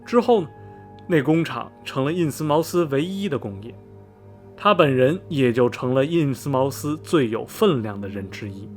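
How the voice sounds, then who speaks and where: A man's voice: medium pitch, animated, normal speed, close to an everyday voice. A man playing a chatty middle-aged railway station veteran in a radio drama, folksy and rambling, with many sighs and interjections. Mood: gossipy, knowing. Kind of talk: Mandarin radio drama dialogue, storytelling. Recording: music behind the voice. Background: background music.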